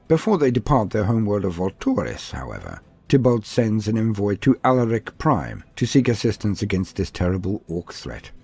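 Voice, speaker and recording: A man's voice: lowish voice, very animated, normal speed, few pauses, darkish tone, slightly rough, soft background music. A man reading a scripted, dramatic narration of fantasy war lore as a storyteller.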